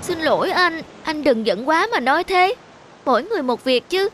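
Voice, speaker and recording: A woman's voice: high voice, animated, normal speed, a bit bright, a little hiss or hum. A woman voicing a friendly little boat anchor in a cartoon, with a chirpy, coaxing way of talking. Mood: apologetic.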